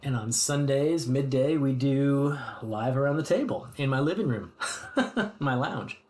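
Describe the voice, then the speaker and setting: A man's voice: mid-range voice, measured pace, darkish tone. An American man chatting casually with his live stream viewers from his home hobby room, rambling and friendly with many restarts. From United States. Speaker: streamer host.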